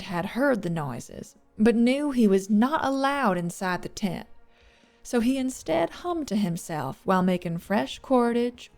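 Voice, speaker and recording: A woman narrates scripted fiction in a medium-pitched voice with a gravelly creak. Faint music plays behind her. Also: darkish tone.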